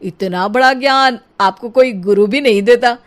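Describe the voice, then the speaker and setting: A woman's voice: a little high, moderate pace, close to an everyday voice. An actress voicing a smug, teasing middle-aged man who is a neighbor. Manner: teasing, sly.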